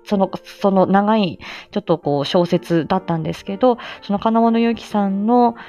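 A woman's voice: medium pitch, normal speed, warm tone, soft background music. A woman hosting a casual solo live stream, talking hesitantly and self-deprecatingly as she gropes for words. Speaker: host of a personal audio live stream. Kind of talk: casual solo chat to listeners.

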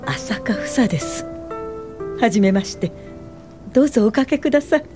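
A woman's voice: a little high, animated, over music, natural voice. An actress playing a thoughtful woman in her late fifties, a welfare official, in a radio drama, speaking politely and reflectively. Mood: courteous. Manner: polite, measured, reflective storytelling. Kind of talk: radio drama narration and dialogue.

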